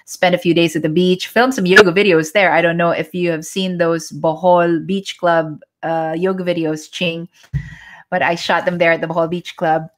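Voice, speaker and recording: Lower-pitched Filipino woman, chatty. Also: flat delivery, normal speed. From Philippines (Manila). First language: Tagalog. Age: 40s. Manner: chatty, reads and answers viewer comments. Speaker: yoga instructor hosting a live chat. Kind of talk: casual live-stream chat. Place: at home during a live stream.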